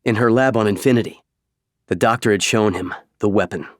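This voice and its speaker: Male narrator, lowish pitch, scripted fiction reading, audiobook style, dry studio sound. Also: brisk, dry recording.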